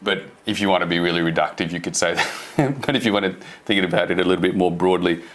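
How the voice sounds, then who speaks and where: A man's voice: low-pitched, fast talker, a bit bright. A man from India speaking as a panelist in a panel discussion, earnest and thoughtful.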